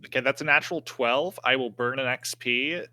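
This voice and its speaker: Man, game player, bright tone, average pitch, casual, hesitant, working things out aloud. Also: steady tone, brisk. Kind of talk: casual game-table chat.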